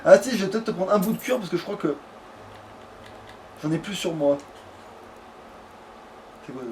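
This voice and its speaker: A man's voice: high-pitched, lively intonation, moderate pace, a little mellow, a little hiss or hum. A man chatting casually as a let's player, in a crude, slangy, grumbling way. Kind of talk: casual gaming chat.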